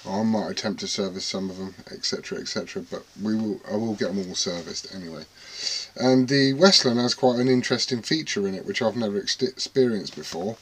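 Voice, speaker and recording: A man rambling casually and self-deprecatingly to camera about his radio gear at home. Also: fairly low pitch. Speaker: CB radio hobbyist vlogger.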